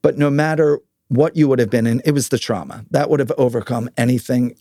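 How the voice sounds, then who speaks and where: A man's voice: mid-range voice, brisk, mellow, dry recording, clean studio sound. A man hosting an interview in a casual, conversational way, informal and affirming with his guest. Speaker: podcast host interviewing a guest.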